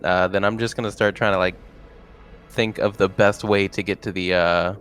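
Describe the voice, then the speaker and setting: A man's voice: sits low, steady tone, moderate pace, a bit bright, slight background noise. A man playing a tabletop game in casual chat, hesitantly thinking aloud.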